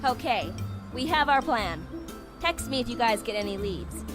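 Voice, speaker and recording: An actress playing a composed young student team leader in a video game, businesslike and organized. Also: female voice, fairly high pitch, big pitch swings, moderate pace. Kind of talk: visual novel game voice acting. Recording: game music behind the voice. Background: game music.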